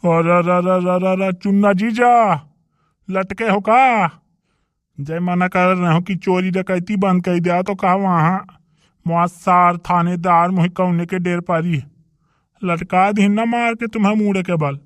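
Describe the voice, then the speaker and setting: A man's voice: sits high, fairly even intonation, unhurried, dry recording. A man voicing a blustering, argumentative rustic in a village comedy cartoon, with a Bundelkhandi accent. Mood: agitated. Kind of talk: dialect comedy cartoon dialogue.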